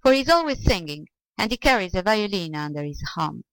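A woman's voice: medium pitch, very animated, fairly quick, fairly bright tone, clean tone, no room sound, rough recording. A woman voicing a stingy, whining and vengeful old man from a storybook, exclaiming and pleading. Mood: indignant, vengeful. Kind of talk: storybook character voice.

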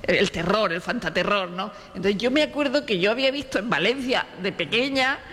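Woman, Castilian Spanish accent, bright forceful voice, anecdotal chat, reverberant hall. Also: mid-range voice, animated. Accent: Spanish from Spain. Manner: halting, repeats words, storytelling. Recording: reverberant hall with faint noise.

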